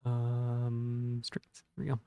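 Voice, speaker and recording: Guy streaming w a gravelly sore throat voice, kinda dark mellow tone, dry close sound. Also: medium pitch, completely flat delivery, takes it slowly, dry recording. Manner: rambling, thinks aloud while checking things. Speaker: livestreamer. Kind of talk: casual livestream chat with viewers.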